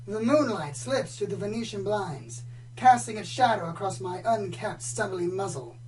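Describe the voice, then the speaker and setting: A woman's voice: mid-range voice, animated, moderate pace, a little mellow, recorded from a distance, slight background noise, close to an everyday voice, rough recording. A woman playing a pompous, dramatic male pony storyteller who loves noir detectives, florid and self-important, in a comedic radio play. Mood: brooding noir. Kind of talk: comedic radio play, hardboiled narration parody.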